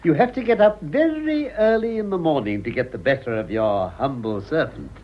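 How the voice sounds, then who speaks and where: A man playing a smug, scheming English gentleman with a Received Pronunciation accent in a vintage radio drama, pompous and theatrical. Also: fairly high pitch, expressive, moderate pace, slight background noise, lightly acted. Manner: pompous, self-congratulatory, theatrical. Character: smug, scheming upper-class English gentleman, pleased with himself. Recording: muffled old radio recording.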